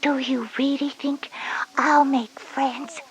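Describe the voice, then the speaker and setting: A woman voicing an anxious, hesitant little girl starting kindergarten in a children's educational audio drama, with a breathy voice. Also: sits high, fairly even intonation, slow, poor audio. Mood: timid, hopeful. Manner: hesitant, timid.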